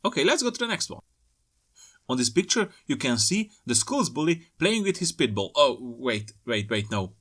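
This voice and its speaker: A man's voice: medium pitch, big pitch swings, normal speed, a bit bright. A man giving casual, playful commentary as the host of a hobby video, with jokey asides. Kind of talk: casual scripted-feel commentary on trailer pictures.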